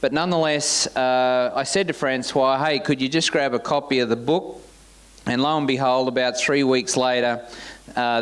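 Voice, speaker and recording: A man hosting a business forum, introducing the guest speaker through a relaxed personal anecdote. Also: mid-range voice, clean tone. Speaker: event host.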